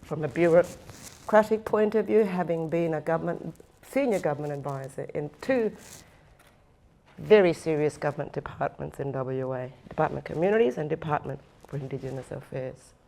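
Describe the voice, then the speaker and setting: Give me a low-voiced woman giving a deliberate, heartfelt conference talk. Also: gravelly, mellow. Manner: slow, earnest and deliberate, with emphatic repetition. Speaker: First Nations speaker and former government advisor. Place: conference session room.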